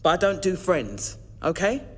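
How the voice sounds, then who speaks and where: An actress playing a guarded, self-reliant time traveller in an audio drama, wry and brusque. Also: female voice, lowish voice, very animated, normal speed, husky, big-room sound.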